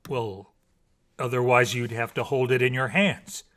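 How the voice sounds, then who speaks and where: A man playing a hunter in a storybook, a patient teacher explaining things in clear, divided tones. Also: medium pitch, steady tone, a little slow, a bit bright, close to an everyday voice.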